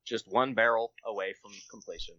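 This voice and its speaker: A man's voice: fairly low pitch, fairly quick, pushed and bright, no echo, dull audio. A man on a podcast reading his own comic short story aloud. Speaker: podcast guest, amateur writer.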